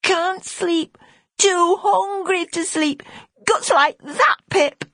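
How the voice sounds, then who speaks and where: A woman's voice: extremely high pitch, drawn-out words, sharp tone, no room sound, clean studio sound, pitched above the performer's own voice, heavily acted. A woman voicing a tiny, hungry little boy in an audiobook, tearful and pleading in childish broken speech. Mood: tearful, whimpering. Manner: whimpering, childish broken grammar. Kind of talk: audiobook character acting.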